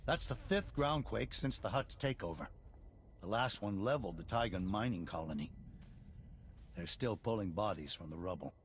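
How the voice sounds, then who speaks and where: An actor playing a dignified, worried middle-aged colonial leader in a video game, speaking formally and earnestly. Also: male voice, on the low side, lively intonation, moderate pace, a little hiss or hum, natural voice. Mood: troubled. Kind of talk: video game cutscene acting.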